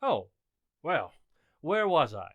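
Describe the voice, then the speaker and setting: A man's voice: on the high side, very animated, slow, fairly bright tone, dry recording, lo-fi sound, full character voice. A man plays a suburban husband in old-time radio comedy. He is earnest and a little fussy, with very varied intonation. The sound is close and dry.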